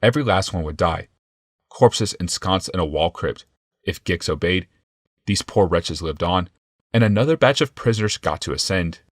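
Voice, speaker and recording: A man's voice: deep voice, animated, normal speed, dry recording, studio quality. A man reading a scripted fantasy audiobook as its narrator.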